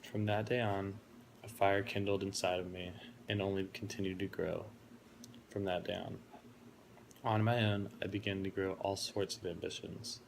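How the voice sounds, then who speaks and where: Low, flat-voiced young man giving a speech. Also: moderate pace, vocal fry, a little hiss or hum, poor audio. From Florida, United States. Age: 20s. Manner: earnest, steady, reflective. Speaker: college student giving a speech. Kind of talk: prepared speech of introduction.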